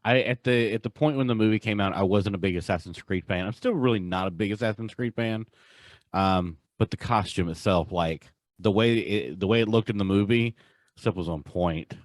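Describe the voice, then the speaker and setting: Low-voiced man chatting on a podcast. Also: normal speed, dry recording, studio quality. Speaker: podcast host. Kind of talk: casual pop-culture podcast chat.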